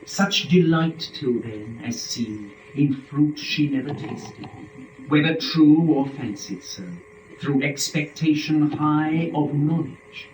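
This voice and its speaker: Male narrator for epic poetry: solemn, theatrical, unhurried delivery, recorded at a distance in an echoey room. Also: fairly high pitch, faint music behind. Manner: solemn, deliberate, theatrical phrasing. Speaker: narrator in a dramatic reading. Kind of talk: dramatic recitation of epic verse. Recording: echoey room, distant mic, faint noise.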